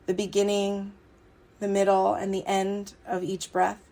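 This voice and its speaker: A woman leading a guided relaxation meditation, speaking soothingly and unhurriedly in short phrases with long pauses. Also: medium pitch, completely flat delivery, dark tone, poor audio. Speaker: meditation guide, yoga teacher. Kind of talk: guided yoga nidra meditation.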